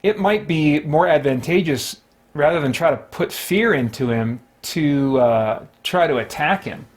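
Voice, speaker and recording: A man's voice: fairly high pitch, lively intonation, unhurried. A man hosting a solo tabletop game, casually narrating his moves and talking himself through rules and choices. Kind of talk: casual play-by-play, thinking aloud.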